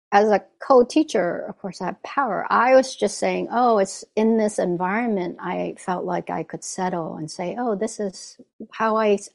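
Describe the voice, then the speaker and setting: A woman's voice: medium pitch, vocal fry. A woman meditation teacher giving a reflective dharma talk, often checking in with "right?" and "you know".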